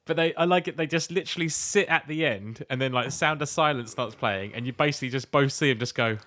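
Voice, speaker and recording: A man's voice: mid-range voice, quick pace, fairly bright tone. A man co-hosting a podcast in casual comic chat, blunt and sweary with an incredulous way of talking. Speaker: podcast co-host. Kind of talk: casual comic chat, reading out letters and reacting.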